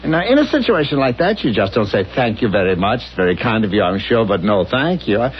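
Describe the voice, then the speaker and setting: A meek, flustered husband in an old radio comedy-drama, hesitant and stammering. His lowish voice leaps about in fussy protest on a dull, noisy recording. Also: big pitch swings, a little fast.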